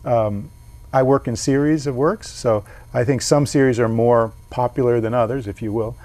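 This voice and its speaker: A man's voice: medium pitch, steady tone, normal speed, dark tone, no echo. A man, a painter being interviewed, talking things through thoughtfully with frequent restarts. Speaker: painter and guest. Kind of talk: casual interview.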